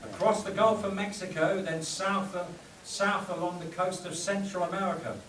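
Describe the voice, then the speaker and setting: Man as commanding adventure leader, slightly high voice, live spoof radio play, echoey hall with audience. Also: steady tone, moderate pace, fairly bright tone. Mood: calm, commanding. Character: commanding, composed adventure hero leading his crew. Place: echoey convention room with audience. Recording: echoey hall, distant mic, audience noise.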